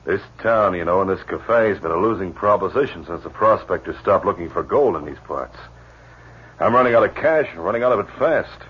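A ruthless, scheming cafe owner in a 1950s radio drama. His voice is very deep and husky, and he gives orders curtly. The old recording sounds dull and lo-fi. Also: animated, a little fast, heavily acted. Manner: commanding, curt. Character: scheming middle-aged male cafe owner, ruthless boss. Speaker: actor.

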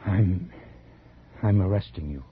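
An actor playing an earnest, troubled police detective, halting and insistent, in a 1940s radio thriller. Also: male voice, low-pitched. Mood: troubled, pressing. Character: reluctant police detective arresting his friend, earnest, troubled. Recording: dull, noisy 1940s radio recording.

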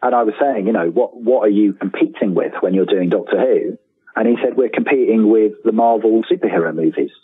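A husky-voiced man gives a casual interview over a tinny, phone-like line with audible room echo. He is enthusiastic but halting, often restarting a phrase as he explains. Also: on the low side. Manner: hesitant, repeats phrase starts, enthusiastic. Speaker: interviewed guest, author.

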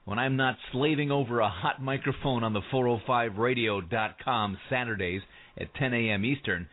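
A man hosting a radio show, reading a wry promotional spot. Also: medium pitch. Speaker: radio host. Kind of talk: promo read. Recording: tinny phone-like audio.

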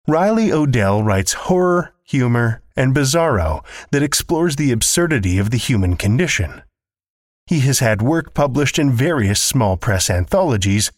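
A man's voice: medium pitch, no room sound, clean studio sound. A man hosting a horror fiction podcast, reading a scripted introduction with theatrical, macabre showmanship.

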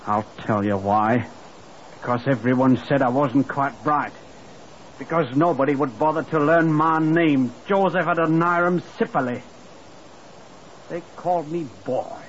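A man's voice: fairly high pitch, measured pace, lightly acted. Guy acting a bitter young murderer in old radio drama, sneery and defiant, muffled noisy sound. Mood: bitter, confessing. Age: 20s. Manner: mocking, defiant, breaking into chuckles. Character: sneering young male murderer, bitter and resentful, dismissed as dim.

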